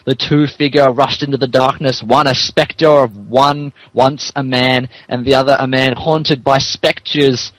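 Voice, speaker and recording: A man's voice: mid-range voice, steady tone, measured pace, hardly any pauses, a bit bright, dry recording, poor audio. A man narrating a comic dramatic reading of fan fiction, mock-serious and amused. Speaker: narrator, podcast host.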